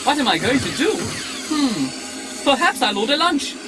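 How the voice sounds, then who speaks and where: An actor playing a prissy, scrambled, recklessly giddy humanoid droid in an audio drama, with a fussy, theatrical delivery and music behind him. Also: male voice, very high-pitched, very animated, unhurried, exaggerated voice, poor audio.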